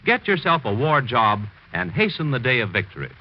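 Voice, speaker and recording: An American man delivers scripted announcements in classic 1940s radio style. His medium-pitched voice is lively, formal and emphatic. The old broadcast sounds dull, with faint noise. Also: fairly quick.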